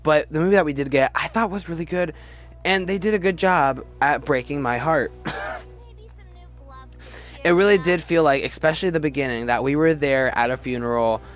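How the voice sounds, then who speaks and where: A man's voice: on the high side, moderate pace, fairly bright tone, faint music behind. A man giving casual, opinionated review commentary as a video host, talking emphatically and often stammering and repeating words. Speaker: video host ranking films.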